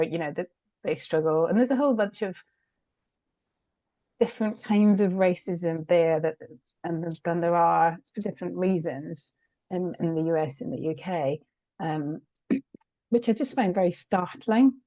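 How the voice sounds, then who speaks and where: A woman's voice: on the low side, normal speed, dark tone, no room sound, poor audio. A middle-aged British woman, a guest on an interview show, reflecting on her work in a candid, conversational way, with frequent laughs and fillers. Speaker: guest, change consultant.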